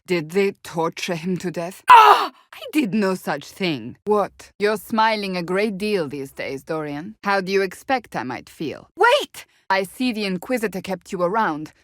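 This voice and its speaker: A tough blunt warrior lady from a fantasy game, kinda low voice, formal n deadpan, easily annoyed, dry studio sound. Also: no echo. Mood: stern, dry, then fierce, exerted. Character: stern, blunt, devout warrior woman in armour. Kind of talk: fantasy video game voice acting.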